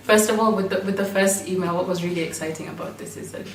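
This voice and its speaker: A woman with a British-schooled Kenyan accent, reflecting aloud to a group in a thoughtful, halting way. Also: medium pitch, steady tone. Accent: Kenyan with British-school influence. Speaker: performer. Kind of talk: reflective personal talk in a group. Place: indoor room at an in-person group meeting. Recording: echoey room, distant mic, faint noise.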